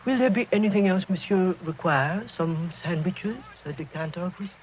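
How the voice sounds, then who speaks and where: An actress playing a grim housekeeper in an old radio drama, formal and deliberate, with an ominous servility. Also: female voice, on the low side, close to an everyday voice. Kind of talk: 1940s radio drama acting. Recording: muffled noisy old radio recording.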